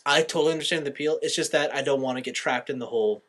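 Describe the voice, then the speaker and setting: A young man co-hosting a podcast, telling stories in an animated, slangy, casual way. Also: fairly high pitch, very fast. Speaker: podcast co-host. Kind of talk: casual chat.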